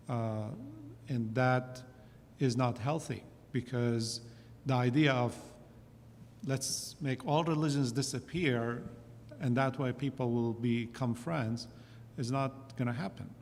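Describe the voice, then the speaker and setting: A man speaking in a measured, thoughtful way as a panelist in a discussion. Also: medium pitch, steady tone. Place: church sanctuary. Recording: long church-hall reverb, faint noise.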